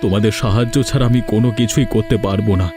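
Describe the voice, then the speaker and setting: An actor playing a rich, proud village landlord who turns humble, in a moral cartoon dub. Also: male voice, lowish voice, fairly even intonation, very fast, natural voice. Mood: humbled, earnest. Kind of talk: Bengali moral cartoon dubbing. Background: background music.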